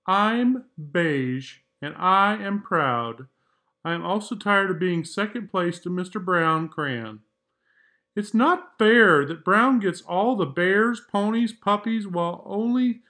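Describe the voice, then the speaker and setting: Man as a proud, slighted crayon, high voice. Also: lively intonation, slow pace, sharp tone, exaggerated voice. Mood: aggrieved.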